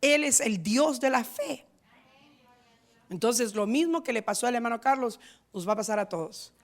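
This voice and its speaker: A woman pastor preaching a sermon in a rhythmic, repetitive style, moving between loud stressed lines and quiet asides. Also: fairly high pitch, lively intonation, normal speed. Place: church in San Diego.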